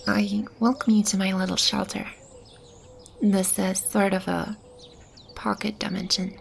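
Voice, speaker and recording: ASMR roleplay: gentle, protective she-demon, played by a woman. Unhurried, breathy, fry-edged, whispery and reassuring. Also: mid-range voice, flat delivery, slight background noise. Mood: warm, confiding. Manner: soft, unhurried, soothing reassurance. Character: gentle protective female demon sheltering a lost child. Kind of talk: ASMR roleplay, soft-spoken with whispery passages. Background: ambient sound effects.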